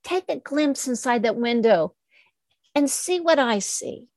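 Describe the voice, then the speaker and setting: A woman voicing a curious, kind little male rabbit, speaking earnestly and gently insisting. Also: on the high side, expressive, unhurried, dry recording, voice pushed up.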